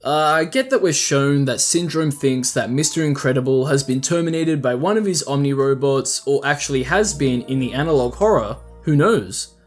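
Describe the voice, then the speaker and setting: A man's voice: medium pitch, normal speed, few pauses. A man hosting a video essay, giving casual, opinionated commentary with a wry streak and frequent rhetorical questions. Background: background music.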